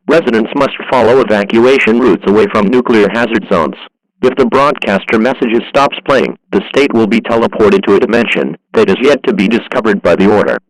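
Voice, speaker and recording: A man playing an impersonal government emergency alert announcer, reading a scripted broadcast in a formal, deliberate way. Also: fairly low pitch, dry recording, close to an everyday voice, rough recording.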